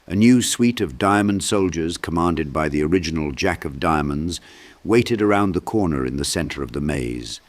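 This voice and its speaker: A man narrating a dark fantasy audiobook in a measured, dramatic storytelling style. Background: low ambient sound design.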